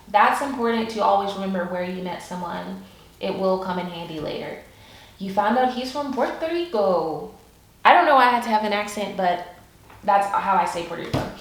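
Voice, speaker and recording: A young woman giving casual, upbeat career advice in a chatty vlog style, with lots of "like"s. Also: mid-range voice. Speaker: YouTube creator, product and project manager. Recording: echoey room.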